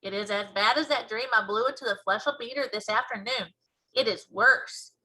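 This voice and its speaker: A woman's voice: fairly high pitch, normal speed, sharp tone, low-quality recording. A woman voicing a kindly, quirky male giant from a storybook, with folksy mangled grammar and an emphatic delivery. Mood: earnest, grave. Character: big friendly giant, male, earnest, kindly, quirky speaker.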